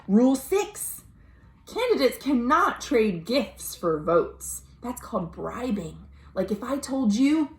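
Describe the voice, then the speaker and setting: An American woman reading a children's story aloud, expressive and dramatic, pausing to explain things simply. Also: fairly high pitch. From United States. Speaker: librarian, storytime host. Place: at home. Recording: roomy, echoey home recording.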